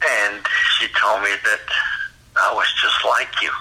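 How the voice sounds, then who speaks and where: A middle-aged or older man talking intimately and earnestly on a phone call, tender, pleading and halting, sounding choked up. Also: lowish voice, steady tone, sharp tone, breathy edge. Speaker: father talking with his adult daughter. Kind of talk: intimate, emotional phone conversation. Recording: phone call heard through a speaker.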